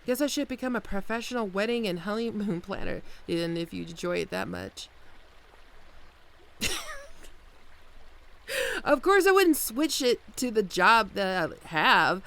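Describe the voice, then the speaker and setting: A woman's voice: mid-range voice, animated, a little slow, vocal fry, pauses often, a bit bright. A woman playing an affectionate, wry bodyguard wife in an intimate, soothing ASMR roleplay. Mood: playful, amused. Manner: soothing, playful, storytelling. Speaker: voice actor in an audio roleplay. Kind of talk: ASMR roleplay, intimate one-sided dialogue.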